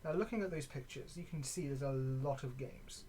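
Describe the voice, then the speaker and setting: A gaming video creator with a medium-pitched voice talks in a casual, off-the-cuff way. The delivery is halting and conversational, as in a show-and-tell vlog. There is some noise behind the voice. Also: warm tone. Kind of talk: casual vlog chat showing items. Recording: clearly noisy background.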